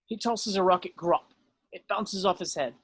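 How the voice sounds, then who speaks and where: A man's voice: high voice, normal speed, a bit bright, slightly rough, dry recording. A man reading stage directions aloud as the narrator of a script read.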